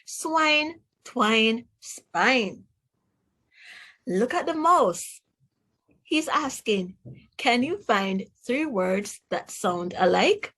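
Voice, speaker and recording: Slow, high-voiced Jamaican woman teaching reading. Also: animated, fairly bright tone. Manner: instructive, enunciates rhyming words, asks the listener questions. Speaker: teacher reading aloud to children. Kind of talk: children's read-aloud phonics lesson.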